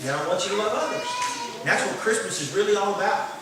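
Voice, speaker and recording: A man's voice: high voice, expressive, quick pace, background noise. A man giving a children's sermon as a church leader, emphatic, repeating phrases and asking for amens. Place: church hall, indoors. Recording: echoey hall, far mic, crowd.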